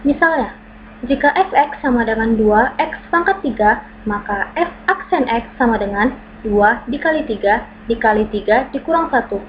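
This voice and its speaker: A woman reading through a math lesson, stating formulas and worked examples in a steady, methodical, step-by-step way. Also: a little high, normal speed. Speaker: math tutor. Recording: dull lo-fi recording with clear background hum.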